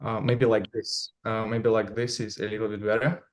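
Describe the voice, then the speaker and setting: Russian-accented male speaker, online talk via video call, monotone, hesitant with many fillers. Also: fairly low pitch. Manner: halting, many fillers and restarts. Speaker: conference speaker. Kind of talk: remote conference presentation.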